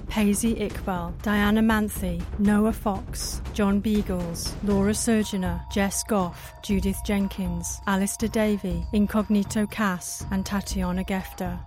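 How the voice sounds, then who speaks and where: A woman's voice: medium pitch, darkish tone. A woman announcer giving a scripted reading of a drama podcast's end credits.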